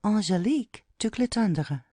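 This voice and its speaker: A woman's voice: mid-range voice, lively intonation, a little slow, mellow, no echo. A woman narrating, reading out stage directions in a scripted style.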